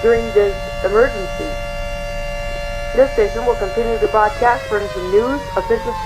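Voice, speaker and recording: A man's voice: sits high, fairly even intonation, moderate pace. A man formally reading a scripted emergency broadcast as a station announcer, on a very noisy recording.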